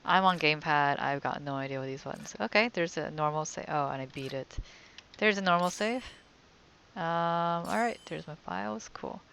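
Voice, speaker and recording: A woman's voice: sits low, moderate pace, creaky, fairly bright tone, clear, resonant tone. A woman casually commentating on a game as she plays, muttering wry reactions. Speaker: let's-play host.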